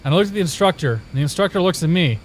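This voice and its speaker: Here is a young guy from Indiana, streaming live and chatting loosely with his viewers. His voice sits a little higher than most men's, and he tells stories in a rambling way, doubling back and restarting his sentences. There is a faint hiss of noise under the voice. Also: animated, very fast, low-quality recording. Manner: rambling storytelling with many restarts. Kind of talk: casual chat with viewers.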